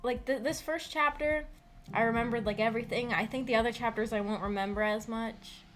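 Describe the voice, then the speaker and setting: A woman hosting a book club livestream, chatting casually and haltingly with her audience, often giggly. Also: a little high, fairly even intonation, faint music behind. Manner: giggly, breaks into small laughs often. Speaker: host of a visual novel book club stream. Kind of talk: casual livestream discussion with chat.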